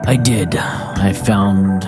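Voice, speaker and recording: Man playing a guarded adventurer, low voice. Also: fairly even intonation, extremely slow, over music. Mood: earnest. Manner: serious, plain-spoken. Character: guarded, earnest male adventurer, measured and wary.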